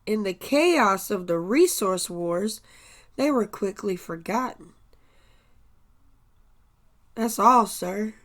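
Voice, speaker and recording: An actress playing an obedient army corporal with a troubled conscience in a science fiction radio play, earnest and deferential. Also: female voice, medium pitch, animated, takes it slowly, pauses often, fairly bright tone. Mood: solemn reading. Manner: deferential, earnest military address.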